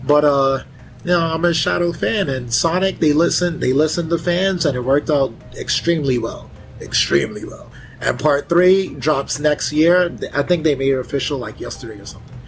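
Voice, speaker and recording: Guy on a fan panel, kinda high voice, just chatting, music faintly behind. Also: normal speed. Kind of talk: casual fan chat.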